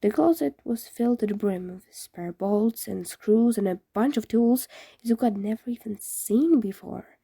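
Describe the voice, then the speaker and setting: A woman's voice: mid-range voice, gravelly, dark tone, breathy edge, dry recording. A woman reading fan fiction aloud as a scripted story narration.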